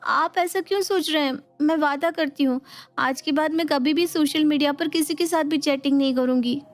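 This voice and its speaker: A woman's voice: sits high, moderate pace, natural voice. A woman voicing a breezy, evasive young wife in a family storybook, with a glib, pleading way of talking. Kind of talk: dramatised Hindi family storybook. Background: music.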